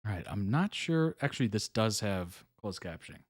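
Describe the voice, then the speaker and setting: A man hosting a reaction video, giving relaxed, chuckling commentary in short approving remarks. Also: fairly low pitch, moderate pace, no echo, clean studio sound.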